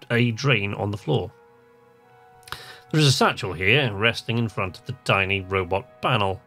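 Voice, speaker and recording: A man with a fairly low voice chats casually as he plays a game. He muses to himself and reads text aloud in an easily amused manner, with music playing clearly behind him. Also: a bit bright. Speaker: gamer, video host. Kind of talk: casual gameplay commentary, reading text aloud.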